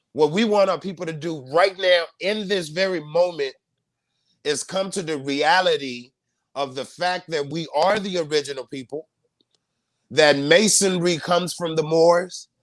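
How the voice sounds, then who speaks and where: A man's voice: a little high, a bit bright, dry recording, studio quality. A man hosting a live online panel, speaking emphatically and repeating key phrases in a preaching cadence over a video call. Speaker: host.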